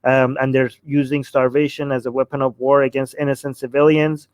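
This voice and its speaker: A man's voice: medium pitch, flat delivery, a little fast, no room sound. A man hosting a panel discussion, speaking emphatically and repeating phrases for stress. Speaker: host of a discussion show.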